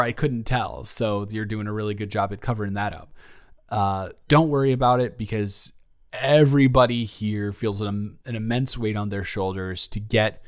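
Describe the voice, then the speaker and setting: A man playing a friendly, flirty boss in an improvised audio roleplay, talking haltingly and conversationally to a new intern. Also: mid-range voice, lightly acted. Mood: friendly, teasing. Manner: halting, conversational, with stammered restarts and chuckles. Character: friendly, flirty, nervous-excited male office boss welcoming a new intern. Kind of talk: improvised romantic audio roleplay, spoken to the listener.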